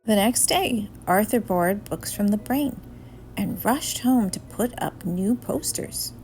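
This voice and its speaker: Slow, very lively woman storyteller. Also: medium pitch, soft background music, rough recording. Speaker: storyteller reading a children's book aloud. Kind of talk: expressive scripted children's story narration.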